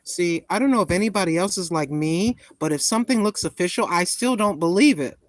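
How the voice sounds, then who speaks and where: A woman in her sixties chatting casually with viewers on a live stream, greeting them by name and talking her thoughts through out loud. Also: lowish voice, expressive, a little fast, no room sound. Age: 60s. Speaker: vlogger greeting live chat.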